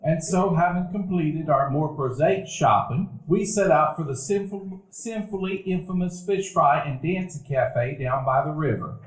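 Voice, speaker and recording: A man narrating a live stage radio play in a warm, reminiscing storytelling manner. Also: fairly high pitch, a little slow, hardly any pauses. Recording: echoey room, live audience.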